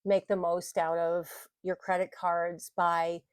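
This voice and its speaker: A woman's voice: fairly low pitch, flat delivery, takes it slowly, no room sound. Chatty rambling woman vlogger, dry sound. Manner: rambling, self-correcting, laughs often. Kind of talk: casual chat to camera. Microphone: mic on the desk, bumped at times.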